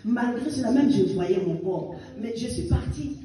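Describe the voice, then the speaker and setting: A woman's voice: on the high side, lively intonation, normal speed, crowd noise. Fervent woman in her fifties, echoey church. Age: 50s. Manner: fervent, exhorting, with rising emphatic bursts. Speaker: church member giving testimony. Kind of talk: testimony and exhortation in church. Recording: echoey church hall picked up from a distance.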